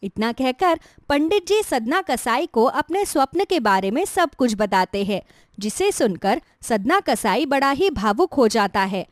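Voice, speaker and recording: High, animated woman storyteller. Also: unhurried, no room sound, clean studio sound. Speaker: storyteller, narrator. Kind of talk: scripted devotional story narration.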